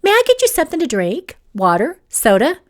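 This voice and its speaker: A woman's voice: medium pitch, sing-song, normal speed, close to an everyday voice. A woman voicing a guarded young female secretary in an audiobook, politely feigning innocence. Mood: fake-sweet. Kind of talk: audiobook character dialogue.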